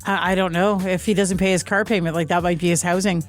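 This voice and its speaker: A woman's voice: lowish voice, fairly even intonation, fast talker, faint music behind. A woman co-hosting a morning radio show in a casual, playful, chatty style. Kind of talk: casual on-air chat. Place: radio studio.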